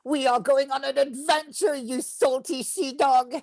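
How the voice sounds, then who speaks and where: A woman voicing an eager, excitable cartoon beetle, theatrical and keen to please. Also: high-pitched, unhurried, vocal fry. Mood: excited. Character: small, eager, excitable husky beetle, would-be showman. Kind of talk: animation table read.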